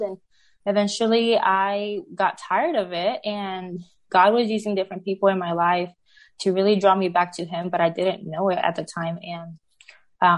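A woman in her thirties from Puerto Rico, a native Spanish speaker with a Puerto Rican Spanish accent, telling her faith story as an interview guest, halting and full of fillers. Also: mid-range voice. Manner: halting, many fillers and restarts. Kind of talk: personal faith testimony.

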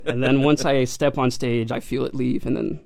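A man's voice: medium pitch, steady tone, moderate pace, vocal fry, darkish tone, dry recording. Modest young man, dry close sound. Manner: modest, hesitant, many fillers. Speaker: country singer guest. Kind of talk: casual interview answers.

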